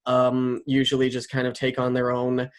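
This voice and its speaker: A man's voice: medium pitch, completely flat delivery, normal speed. Talkative enthusiastic man interviewing casually. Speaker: interviewer and series writer.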